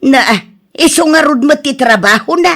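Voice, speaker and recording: An actress playing a soft-hearted older wife in a radio drama, gently reproving, with an Ilocano accent. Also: female voice, high voice, animated, moderate pace. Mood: kindly. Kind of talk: Filipino radio drama acting.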